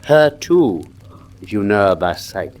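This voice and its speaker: A man playing a shrewd, courteous, commanding gentleman detective in a radio drama, with a precise, formal delivery. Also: fairly low pitch, very animated, measured pace. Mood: firm, probing.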